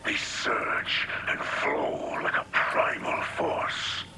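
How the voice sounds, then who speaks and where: A man playing a solemn, wise alien mystic leader in a video game, speaking in a breathy, rasping whisper with measured, foreboding gravity. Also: very noisy. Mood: grave, worried. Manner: slow, measured, portentous delivery. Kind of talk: scripted video game dialogue.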